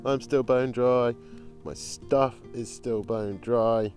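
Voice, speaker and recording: A man's voice: mid-range voice, fairly even intonation, slow, a little mellow, faint music behind. A man filming his own bushcraft vlog outdoors in the woods, talking cheerfully with playful, jokey asides. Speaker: bushcraft vlogger. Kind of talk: casual outdoor vlog commentary. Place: woodland outdoors, in the rain.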